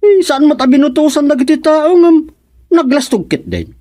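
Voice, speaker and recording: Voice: high-pitched, sing-song, moderate pace, dark tone, no room sound, clean studio sound. An actor playing a gossipy, complaining village colleague in an Ilocano radio comedy drama, exclaiming often, with an Ilocano accent.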